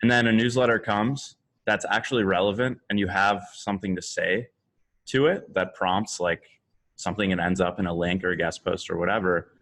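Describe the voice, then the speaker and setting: A man on a podcast panel chatting casually, sharing tips in a loose, halting way as he thinks aloud. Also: sits low, a bit bright.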